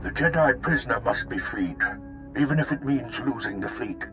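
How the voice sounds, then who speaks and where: An actor playing a self-sacrificing fleet officer in a video game, giving urgent, commanding orders. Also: male voice, medium pitch, expressive, moderate pace, a bit bright, natural voice. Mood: steely urgency. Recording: music under the voice.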